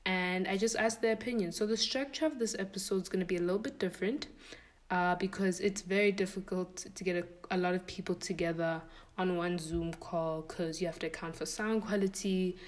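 A woman's voice: on the low side, fairly even intonation, fairly quick. Young woman musing aloud as podcast host. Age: young adult. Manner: thinks aloud, many rhetorical questions. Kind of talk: casual podcast monologue.

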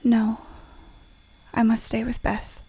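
A woman's voice: fairly high pitch, completely flat delivery, takes it slowly, dark tone, husky, exaggerated voice. A woman playing a devoted, sad but firm young woman in a period audio play, with a subdued, earnest delivery. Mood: tender, weary.

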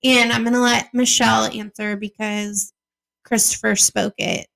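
A woman with a high voice and plenty of vocal fry co-hosts a casual podcast. She tells chatty stories and imitates the people in them. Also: level tone, measured pace, fairly bright tone. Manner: chatty storyteller, mimics others in quotes. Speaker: podcast co-host. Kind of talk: casual chat.

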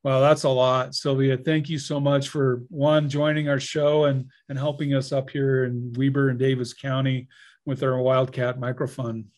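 A man's voice: medium pitch, level tone, normal speed, a little mellow. A man hosting a podcast, introducing a guest and asking friendly, jokey questions. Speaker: podcast host. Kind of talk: podcast interview.

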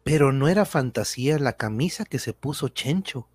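A man's voice: medium pitch, lively intonation, moderate pace, husky, no room sound. A man narrating a radionovela from a script in storytelling style.

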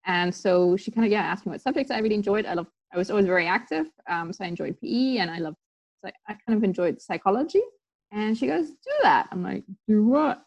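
This is a woman in her thirties, raised in Tanzania, guesting on a sports podcast. She tells stories loosely, full of ums and restarts, at a middling pitch. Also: expressive, fast, vocal fry, a little mellow, highs cut off. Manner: chatty storytelling with many fillers and restarts. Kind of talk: casual interview talk.

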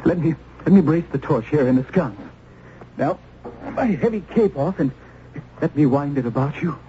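A man's voice: a little high, animated, normal speed, warm tone, close to an everyday voice. An actor playing a compassionate, earnest young clergyman of colonial times, formal and theatrical in a radio drama. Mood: tender, compassionate. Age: young adult. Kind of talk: old-time radio acting. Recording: dull, hissy old radio recording.

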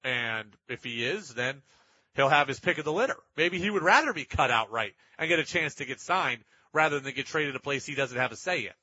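A man's voice: mid-range voice, lively intonation, a little fast, sharp tone, slightly rough, dry recording, highs cut off. A man hosting sports talk radio, giving opinionated commentary in an emphatic way and repeating points for effect.

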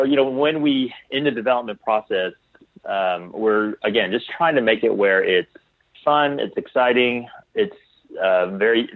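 Male podcast guest, lowish voice, casual and halting, restarts often, heard through a narrow phone-like connection. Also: no echo. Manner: halting, tentative, trails off and restarts. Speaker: interview guest, clothing designer. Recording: tinny phone-like line.

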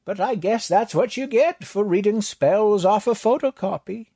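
A man voicing a raspy male monster librarian who breaks into a shrill cackle as he talks, in a sly, gloating way. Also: moderate pace, warm tone, no room sound, studio quality.

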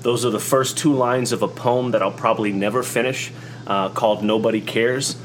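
A middle-aged man with an American accent speaks in a reflective, personal monologue. His voice sits at a medium pitch, and he moves haltingly, repeating words as he goes. A faint hum runs behind the recording. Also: fairly even intonation. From United States, born in New York, from Oklahoma. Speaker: host.

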